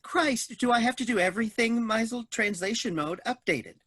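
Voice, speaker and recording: A woman's voice: a little high, normal speed, fairly bright tone, husky, no echo, clean studio sound. A woman voicing an officious, literal-minded robot assistant in a stilted, formal manner for a staged comedy reading. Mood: snippy.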